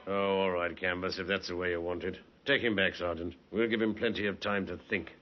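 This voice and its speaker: A man's voice: low-pitched, steady tone, a little fast, loud music behind the voice, natural voice. An actor playing a shrewd police inspector in a radio drama, firm and coaxing as he interrogates. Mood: stern. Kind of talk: 1950s radio drama acting. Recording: muffled 1950s radio recording.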